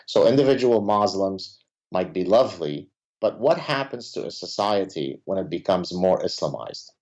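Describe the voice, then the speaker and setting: Low-voiced Lebanese-born man, hesitant. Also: animated, normal speed, a little mellow. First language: Arabic. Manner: hesitant with many fillers, emphatic. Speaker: podcast guest, professor. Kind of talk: conversational interview. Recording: dull lo-fi sound.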